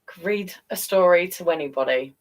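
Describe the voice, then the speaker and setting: A woman's voice: fairly low pitch, moderate pace, distant mic. A British woman hosting a live storytelling stream, chatting casually and affectionately with her viewers and encouraging them. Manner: affectionate, encouraging, calls listeners darling and guys.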